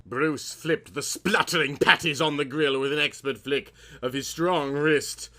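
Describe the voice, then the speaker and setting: A man's voice: fairly high pitch, steady tone, unhurried, sharp tone. A man narrating a comic story in a dramatic, mock-serious, melodramatic way.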